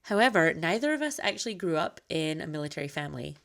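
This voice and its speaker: Podcast host, thirties, woman from Scotland; light Scottish-American blend, lowish voice, earnest and open. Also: very animated, quick pace, creaky, a bit bright. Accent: slight Scottish mixed with American. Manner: earnest and candid, conversational. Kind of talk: personal podcast monologue.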